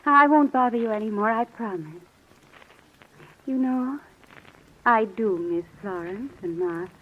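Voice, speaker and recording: Sweet childlike sister, really high timid voice, muffled old radio play. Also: female voice, a little slow. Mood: wistful. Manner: timid, eager to please, girlish. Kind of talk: old-time radio drama acting.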